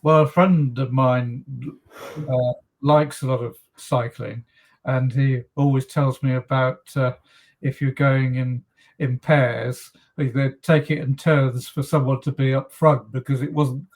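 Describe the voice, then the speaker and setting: A man's voice: mid-range voice, level tone, unhurried, low-quality recording. Man asking rambling questions. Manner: rambling questions with many fillers. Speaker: podcast host. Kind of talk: casual interview chat.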